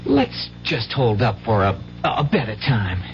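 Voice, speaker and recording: An actress playing a worried, impatient schoolboy in a 1970s radio drama, talking in a folksy, plain-spoken way. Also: female voice, very deep voice, big pitch swings, unhurried, exaggerated voice. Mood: fretful, impatient. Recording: muffled, hissy 1970s radio recording.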